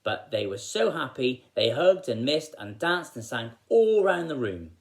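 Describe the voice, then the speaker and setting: Animated man telling a children's story. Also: mid-range voice, a little slow.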